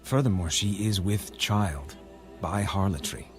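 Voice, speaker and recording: Biblical accuser, low solemn voice, faint music beneath. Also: male voice, unhurried. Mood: accusing, solemn. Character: grave male accuser bearing scandalous news. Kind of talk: dramatized audio Bible.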